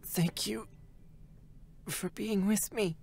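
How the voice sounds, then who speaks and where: An actor playing a gentle, dying young male android in a dramatic reading, with a light, breathy voice and halting, tender phrases. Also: sits high, steady tone, heavily acted. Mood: fading, grateful. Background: faint background music.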